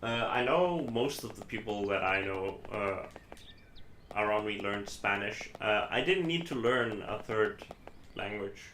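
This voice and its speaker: A man from Iceland with an Icelandic accent, a native Icelandic speaker, chatting casually as a game streamer in a halting, rambling, self-correcting way. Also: lowish voice, lively intonation, fairly bright tone. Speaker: live streamer playing games. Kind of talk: casual chat while gaming. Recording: echoey room, faint background noise.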